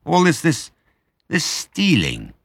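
A man voicing an excitable, respectable old badger in an audiobook, eager and then worried. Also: low-pitched, fast talker, no echo, studio quality, exaggerated voice.

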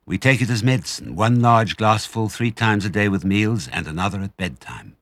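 A man voicing an excitable, hearty badger with an emphatic, exclaiming manner, in a storybook. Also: lowish voice, normal speed, no room sound. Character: excitable, hearty male badger who loves cider.

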